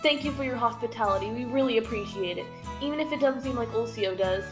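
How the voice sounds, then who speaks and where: Teen girl as a higher-voiced polite traveler. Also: quick pace, over music, natural voice. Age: teens. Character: polite, weary traveling messenger on urgent errand. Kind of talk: student radio theatre acting.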